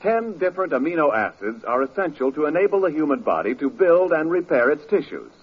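An American man reads as a 1940s radio announcer. His voice is fairly high and husky, with very animated intonation. The old broadcast recording is dull. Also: brisk.

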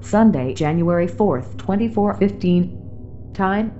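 A woman plays a detached announcer for a sci-fi audio drama. Her voice is mellow and lowish, delivered very slowly in measured, official phrases. Soft music runs behind. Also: animated, dull audio. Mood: neutral, official. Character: impersonal female announcer giving location and time. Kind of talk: clipped scene-setting announcement.

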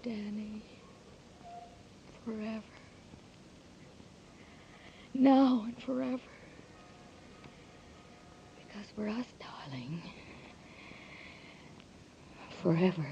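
An actress playing a devoted young woman in love, speaking in breathy, intimate whispers and anxious pleas in a 1940s radio drama. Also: medium pitch, expressive, slow, long pauses, mellow, slight background noise. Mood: tender. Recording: dull lo-fi 1940s radio broadcast.

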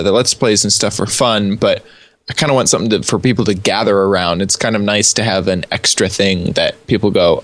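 A man's voice: on the low side, a bit bright. A man co-hosting a casual podcast, talking eagerly with frequent restarts. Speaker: podcast co-host, makes let's plays.

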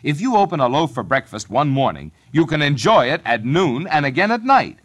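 A man's voice: on the high side, big pitch swings, brisk, fairly bright tone. An American man reading a vintage radio commercial as announcer, with folksy, persuasive sales patter. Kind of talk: vintage radio bread advertisement.

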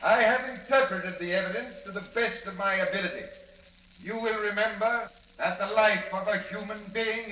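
A man plays a stern courtroom judge in a vintage radio play. He declaims formally and deliberately in a high voice. The old recording is dull and echoing. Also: close to an everyday voice. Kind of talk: 1940s radio drama acting.

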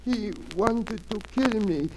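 A high-voiced, wounded villain pleads in halting, foreign-accented English. He is desperate and defeated, drawn out in melodramatic radio style, on a noisy vintage recording. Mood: desperate, weary. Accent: foreign, non-native English. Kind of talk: old-time radio drama acting.

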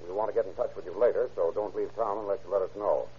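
An actor playing a brisk, commanding police officer in an old-time radio crime drama. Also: male voice, lowish voice, fairly even intonation, dark tone, a little hiss or hum, lightly acted. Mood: businesslike, commanding. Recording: dull, lo-fi vintage radio recording.

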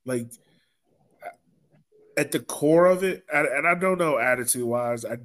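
A man's voice: medium pitch, measured pace, fairly bright tone. Casual male sports podcast host.

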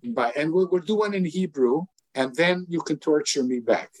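An older man hosting an interview podcast, talking in a warm, effusive way with many restarts and fillers. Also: on the high side, lively intonation, moderate pace, no echo, poor audio. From lived in Israel fifty years. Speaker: podcast host. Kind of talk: casual interview chat.

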